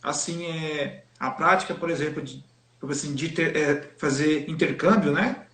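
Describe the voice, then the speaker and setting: A man's voice: a little high, unhurried, fairly bright tone. Hesitant Brazilian man in an echoey room. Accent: Brazilian Portuguese. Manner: hesitant, many fillers and restarts. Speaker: interviewer. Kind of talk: live stream conversation.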